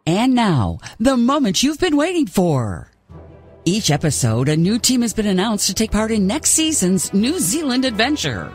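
An actor playing a bombastic, dryly funny reality show announcer in a video game. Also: male voice, sits high, very animated, moderate pace, breathy edge, exaggerated voice. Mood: suspenseful. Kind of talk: showy television announcing. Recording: music playing behind the voice.

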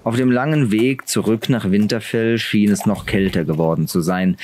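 A man's voice: fairly low pitch, normal speed, a little mellow. A man reading a scripted fantasy story aloud as an audiobook narrator.

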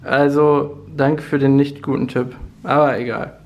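A man's voice: medium pitch, flat delivery, measured pace, darkish tone. Joking young man in a house. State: has a slight cold. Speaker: partner co-hosting. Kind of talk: casual vlog chat. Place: house being renovated, indoors.

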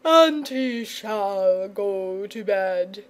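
A woman's voice: medium pitch, animated, very slow. Woman playing a drowsy, drawling, jovial big male dragon. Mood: blustering, genial. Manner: drowsy drawl, slurred and rambling. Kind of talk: children's storybook character.

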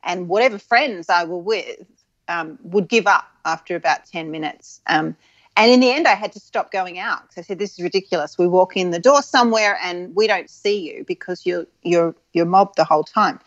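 A woman in her forties from Adelaide, with a soft Australian accent that leans English, reminiscing frankly as an interview guest with many ums and restarts. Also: on the low side, moderate pace, no room sound, dull audio. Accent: soft Australian with an English tinge.

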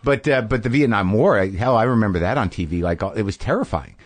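A man's voice: lowish voice, expressive, quick pace. A man in his fifties hosting a casual interview, asking questions in a rambling way with many restarts. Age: 50s. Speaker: podcast host.

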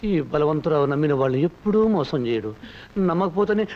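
Brief: actor as leering comic rake, lively swooping voice, old muffled film soundtrack with music. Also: male voice, on the high side, a little fast, natural voice. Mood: coaxing. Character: leering womanizing young male rake, sly and mocking. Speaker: lecherous comic villain in a film.

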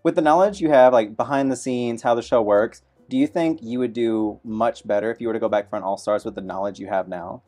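A young man hosts a casual sit-down interview, talking quickly and teasing his guest playfully. His voice sits at a mid pitch, with faint music underneath. The chat takes place on a small indoor studio set. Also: mellow. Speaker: host, drag performer.

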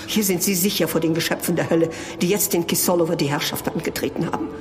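An actor playing a grave, pious monk in a horror audio drama, speaking solemnly and portentously. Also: male voice, a little high, fast talker, natural voice. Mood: urgent warning.

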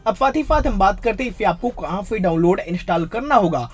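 A man's voice: sits high, expressive, fairly quick, breathy edge. A man presents a tech tutorial as the host of his own channel. He talks in a casual, explanatory voice-over style. English words and phrases are mixed freely into his sentences. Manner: mixes English phrases into speech.

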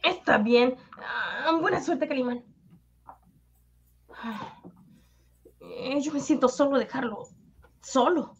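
Woman playing a timid, high-voiced young companion. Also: slow, pauses often. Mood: anxious. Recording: muffled, noisy line with clicks.

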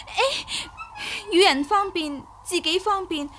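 A woman's voice: very high voice, fairly bright tone, exaggerated voice. An actress playing a shrewd, bold young swordswoman, assertive and protective, in Hong Kong Cantonese radio drama. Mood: persuasive. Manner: assertive, quick-witted. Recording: faint background noise, old radio drama.

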